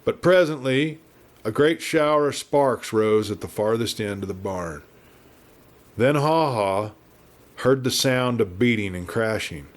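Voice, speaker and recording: A man's voice: mid-range voice, animated, unhurried. A man narrating a Western adventure audiobook in a dramatic, theatrical storytelling manner.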